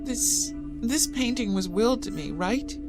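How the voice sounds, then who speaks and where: An actress voicing a grieving young poet who speaks softly and haltingly, stumbling over her words in an audio drama. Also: a little high, expressive. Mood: hesitant. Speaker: Robin. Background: music score.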